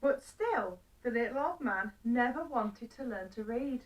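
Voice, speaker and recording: A woman with a fairly high voice narrates a children's story. She uses simple phrases and deliberate pauses, recorded slightly away from the microphone. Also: animated, distant mic, low-quality recording.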